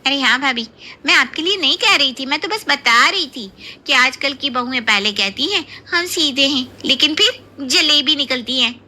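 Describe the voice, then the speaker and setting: A woman's voice: high voice, lively intonation, moderate pace, sharp tone, exaggerated voice. A woman playing a gossipy, mischievous young daughter in a cartoon story, chatty, insistent and needling. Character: gossipy, mischievous young daughter and sister-in-law, blurting everything. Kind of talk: Hindi cartoon story voice acting.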